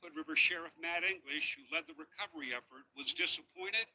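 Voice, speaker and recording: A man reporting for TV news from the field in a measured, steady broadcast delivery. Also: fairly high pitch, a crowd in the background, rough recording.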